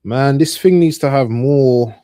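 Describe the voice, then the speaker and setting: A man's voice: medium pitch, unhurried, mellow, clear, resonant tone, no echo, clean studio sound. A man hosting a live football watchalong with casual, informal commentary and chat, often repeating his phrases.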